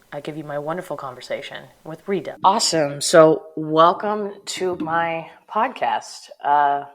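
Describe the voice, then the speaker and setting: A woman hosting her own podcast, introducing the episode and asking her guest questions. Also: sits low. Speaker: podcast host.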